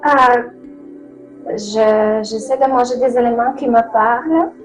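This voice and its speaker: A woman as a guest in a casual talk, speaking hesitantly with many pauses. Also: fairly high pitch, fairly even intonation, low-quality recording. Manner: slow, hesitant, full of pauses. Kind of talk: casual conversation. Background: soft new-age music.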